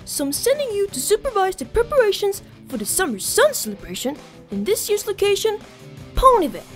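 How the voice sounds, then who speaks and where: A woman's voice: very high voice, big pitch swings, fairly quick, faint music behind. A woman voicing a young boy dragon, an earnest and slightly sarcastic sidekick in a cartoon fan dub. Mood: reading aloud.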